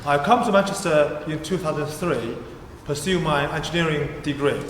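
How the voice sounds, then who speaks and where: A man with a Chinese accent gives an earnest candidate's speech, his phrasing halting and non-native. His voice sits slightly high for a man. The sound rings with the long echo of a big church hall. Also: a little hiss or hum. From China. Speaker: parliamentary candidate. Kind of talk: hustings speech. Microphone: far room mic, standing speaker.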